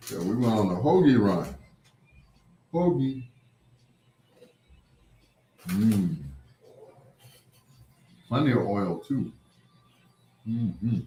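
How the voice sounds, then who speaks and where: A man hosts a casual livestream in an echoey room. He talks slowly, with a pitch that swings up and down freely. He rambles, repeats himself and draws out his greetings to viewers. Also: expressive, dark tone, a little hiss or hum. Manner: unhurried, rambling, repeats phrases, drawn-out greetings. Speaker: livestream host. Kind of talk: casual chat with live viewers. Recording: roomy, echoing sound.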